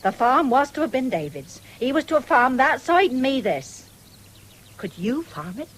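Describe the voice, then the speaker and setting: An actress playing a stern, practical middle-aged farm mother with an English accent, brisk and sharp-tongued, in a radio drama. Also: high voice, very animated. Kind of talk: 1970s British radio drama acting. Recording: faintly noisy vintage radio broadcast.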